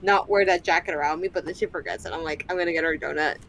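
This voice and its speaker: A woman's voice: low voice, steady tone, very fast, a bit bright. Female player, casual and anecdotal, light background hiss. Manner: chatty, anecdotal, joking. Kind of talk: casual chat.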